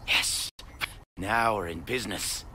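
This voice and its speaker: An actor playing an eccentric, absent-minded scientist in a video game, obsessive and unbothered, with a distracted, halting delivery. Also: male voice, on the low side, pushed and bright, slight background noise, heavily acted. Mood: pleased.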